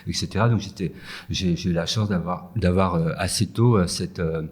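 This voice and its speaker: A man from France with a very low, husky voice talks slowly through interview answers. He hesitates constantly, fills pauses with "euh" and restarts his phrases. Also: a little mellow. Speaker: guest, food entrepreneur. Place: empty yoga room with echo.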